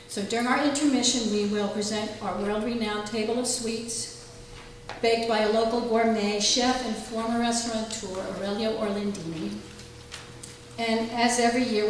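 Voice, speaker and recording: A woman host introducing a show to a live audience, with a tongue-in-cheek manner. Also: fairly high pitch. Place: indoor library hall with audience. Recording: very echoey room, distant mic, clear noise.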